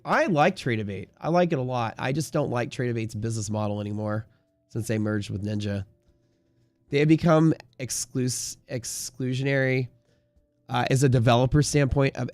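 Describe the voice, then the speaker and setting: A man's voice: medium pitch, gravelly, no echo. A man hosting a livestream in casual, slangy, emphatic conversation, answering viewers and giving his opinions. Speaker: livestream host and trading community leader.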